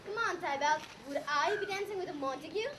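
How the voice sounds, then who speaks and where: A woman's voice: very high voice, quick pace. A young woman acting a bossy, impatient young woman in a comic school Shakespeare parody, projecting on stage. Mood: coy, pushy. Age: young adult. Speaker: student actor in a school play. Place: school stage, recorded from afar. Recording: distant stage recording with background noise.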